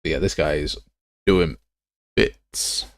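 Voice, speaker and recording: Man, low gravelly voice, animated pitch swings, grumbling, casual livestream commentary. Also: slow, no room sound. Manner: grumbling, thinking aloud. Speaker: livestream host. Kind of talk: casual livestream commentary while browsing software assets.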